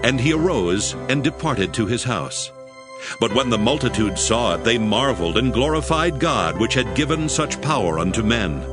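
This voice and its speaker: A man's voice: mid-range voice, big pitch swings, moderate pace. A man narrating dramatized scripture in a formal, solemn storytelling manner. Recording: music behind the voice.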